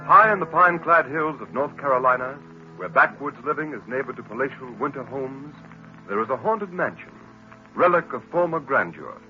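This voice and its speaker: A man announcing and narrating in a booming, emphatic 1940s radio style. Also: animated, normal speed, muffled recording. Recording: old radio recording with music.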